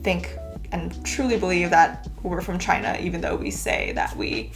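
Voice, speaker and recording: A woman's voice: on the low side, big pitch swings, normal speed, vocal fry, faint music behind. A young woman from California, Cantonese her first language, chatting casually through tag questions in a vlog, with many "like"s. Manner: chatty, filler-heavy, lots of "like". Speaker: YouTuber.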